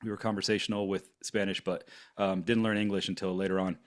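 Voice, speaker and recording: A man's voice: deep voice, flat delivery, fast talker. A man in his forties from Germany, whose first language is German, telling his story on a podcast in a rambling way with many fillers and restarts. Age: 40s. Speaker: podcast co-host. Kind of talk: casual podcast conversation.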